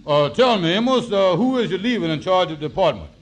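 An old-time radio comedy hustler, high voice all over the place, blustery and wheedling. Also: male voice, expressive, fast. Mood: sly. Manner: blustering, wheedling, folksy ungrammatical speech. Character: scheming, fast-talking male lodge boss and con man. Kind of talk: 1940s radio comedy acting. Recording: vintage 1940s radio broadcast.

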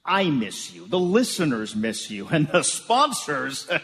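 An oily, coaxing boss in a radio comedy. His middle-pitched voice slides about expressively in a reverberant hall. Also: sing-song, a little slow, fairly bright tone. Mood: wheedling. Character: smarmy, wheedling radio station boss. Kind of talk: comic radio theatre acting. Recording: reverberant hall stage recording.

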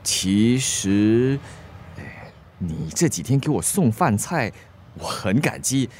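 A young bachelor in a radio drama, with a mid-pitched, very animated voice over some ambient noise. He is a teasing, slightly awkward man with a halting, playful way of talking. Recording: clear ambient background noise.

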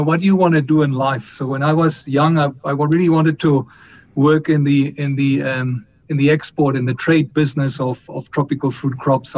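A middle-aged man from Colombia talks through his answers in a measured way, pausing on fillers and restarting phrases. His voice sits a little high for a man. It comes through a dull, muffled line with a faint rumble behind it. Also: darkish tone. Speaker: company chief executive, guest. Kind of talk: interview answers.

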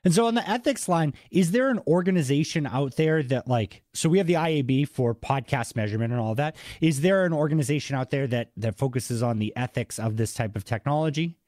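An enthusiastic man with a mild Boston accent hosts a conversational podcast. His voice sits at a middle pitch and is recorded dry, with hardly any room around it. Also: animated, fairly quick, few pauses, darkish tone, no echo. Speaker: podcast host. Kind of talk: casual interview chat.

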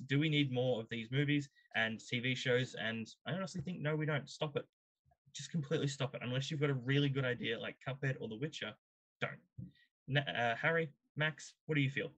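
A man's voice: mid-range voice, a bit bright, highs cut off. Man hosting an opinionated panel chat. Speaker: podcast host.

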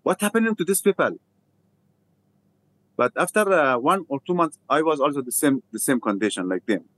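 Afghan-accented man telling his story haltingly. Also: on the high side, animated, a bit bright. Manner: halting, simple non-native English with repeated phrases. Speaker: interview guest, refugee theatre founder. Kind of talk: personal storytelling in an interview.

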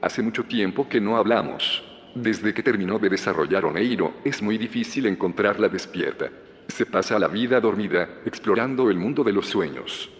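An actor with a Spanish accent from Spain playing a calm, persuasive middle-aged founder in an audio drama. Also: male voice, lowish voice, normal speed, natural voice. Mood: measured, persuasive. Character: calm, persuasive male founder of a secretive community. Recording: long reverb with music underneath.

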